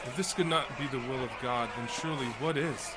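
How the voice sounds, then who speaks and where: A man's voice: medium pitch, a little fast, heavy background noise, close to an everyday voice. A man playing an insistent, fervent medieval townsman in a family audio drama, with declamatory delivery. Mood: pleading.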